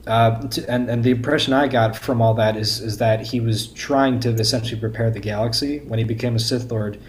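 A man in a casual fan discussion, speaking haltingly as a podcast panelist and often repeating words. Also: mid-range voice, steady tone. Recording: faint background noise.

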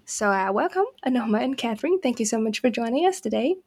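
A woman host guiding an academic book talk in a soft, halting way, with frequent ums. Her voice sits fairly high yet carries a gravelly fry. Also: expressive. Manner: gentle, hesitant with fillers. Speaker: podcast host. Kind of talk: academic interview.

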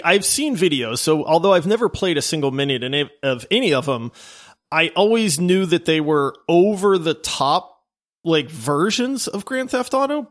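A man co-hosts a gaming podcast with eager enthusiasm, often restarting his sentences. His voice runs a little high and swings lively up and down in pitch. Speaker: podcast co-host. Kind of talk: casual podcast chat.

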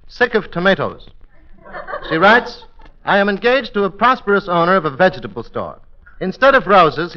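An actor playing a deadpan, mock-formal judge of a comic court in 1940s radio comedy style. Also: male voice, sits high, a little hiss or hum. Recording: muffled old radio broadcast.

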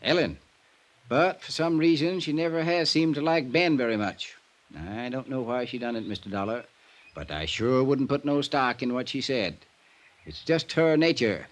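Here is a man playing a small-town lawman in a vintage radio drama. His mid-pitched voice has a rural American drawl. He is folksy, steadfast and sparing with words. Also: normal speed, lightly acted. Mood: matter-of-fact, laconic. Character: folksy, loyal, unhurried small-town male constable. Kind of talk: old-time radio drama acting.

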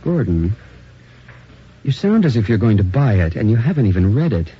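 An actor playing a high-minded, argumentative man at a publishing house in a radio drama. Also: very animated, moderate pace, slightly rough. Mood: skeptical, exasperated. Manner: argumentative, incredulous. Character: skeptical, high-minded male publishing colleague, exasperated and argumentative. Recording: muffled old radio drama recording.

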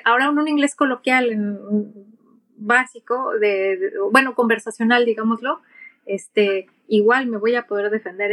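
A woman's voice: mid-range voice, a bit bright. A middle-aged woman with a Mexican Spanish accent, answering conversationally as an interview guest and explaining things through acted-out examples. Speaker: interview guest, pilot and aviation English instructor.

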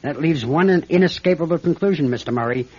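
An actor playing a troubled but resolute airline captain in a classic radio drama, American, with a clipped, plain-spoken delivery. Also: male voice, a little high, fast, darkish tone, husky. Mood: tense, insistent. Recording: dull lo-fi old radio recording.

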